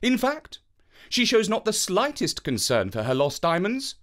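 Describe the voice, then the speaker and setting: A proud Victorian gentleman detective in a children's storybook, acted by a man. The voice is raised and swings widely in pitch, with formal, precise and emphatic phrasing. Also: huge pitch swings, unhurried, heavily acted. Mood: matter-of-fact.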